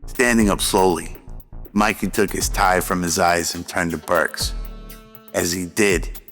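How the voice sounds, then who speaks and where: Male narrator for audio drama: deep, very even delivery, music underneath. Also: measured pace.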